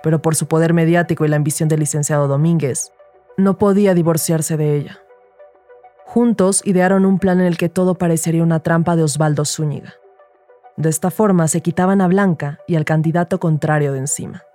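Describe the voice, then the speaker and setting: A woman's voice: low voice, steady tone, fairly quick, warm tone, faint music behind, lightly acted. A woman playing a rookie detective who narrates her case in a scripted crime audio drama. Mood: grave, measured.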